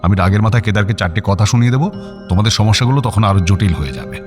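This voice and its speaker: A gruff, blunt older man , played by a man in a radio drama. His deep voice is wry and short-tempered, with long reverb and faint music. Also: lively intonation, very fast, a little mellow, lightly acted. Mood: gruff, irritated. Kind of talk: Bengali radio drama acting.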